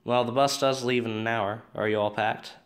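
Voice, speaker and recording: A man plays a direct teenage boy in an audio drama, blunt but caring. His voice is mid-pitched and conversational. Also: steady tone, normal speed, lightly acted. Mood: easygoing.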